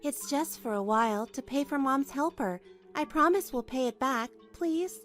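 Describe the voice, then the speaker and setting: A high-pitched, earnest young wife voiced by a woman narrates a manga drama. She sounds put-upon and anxious, and music plays behind her. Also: expressive, moderate pace. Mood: pleading. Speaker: narrator and lead of a manga dub. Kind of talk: dramatised first-person story narration.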